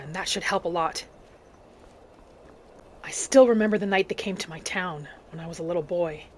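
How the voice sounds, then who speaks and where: A woman hosting a gaming channel, narrating her roleplay in character in a measured, earnest storytelling way. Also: medium pitch, slight background noise. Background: background music.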